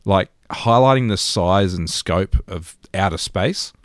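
A man's voice: low voice, measured pace, dry recording, studio quality. A man in his thirties with an Australian accent, co-hosting a podcast and telling stories in a casual, chatty way. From rural New South Wales, Australia. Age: 30s. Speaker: podcast co-host. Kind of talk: casual storytelling chat.

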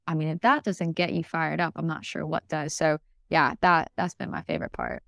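A woman's voice: sits low, steady tone, fairly quick, creaky, fairly bright tone, dry recording, studio quality. A young American woman, a podcast guest, talking enthusiastically in a casual interview, with lots of fillers and restarts. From United States.

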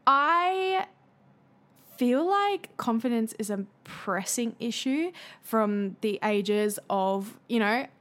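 A woman hosting her own podcast in casual solo chat, her voice a little raspy from singing, talking in a chatty, confiding way with fillers. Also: a little high, expressive, slow, a bit bright. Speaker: podcast host.

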